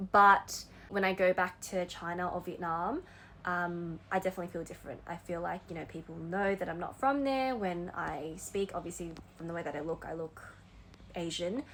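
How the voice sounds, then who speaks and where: Young adult woman, Australian accent, low-ish voice, earnest and reflective, light room hum. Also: fairly bright tone. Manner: thoughtful, earnest, laughs lightly now and then. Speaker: vlogger. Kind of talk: reflective personal talk to camera.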